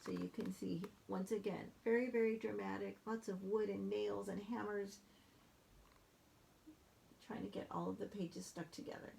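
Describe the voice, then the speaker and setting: A woman reading a picture book aloud in a chatty, hesitant way, pausing to explain the pictures. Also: mid-range voice, steady tone, vocal fry, mellow, a little hiss or hum, low-quality recording. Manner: chatty, hesitant with many fillers. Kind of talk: casual read-aloud with commentary.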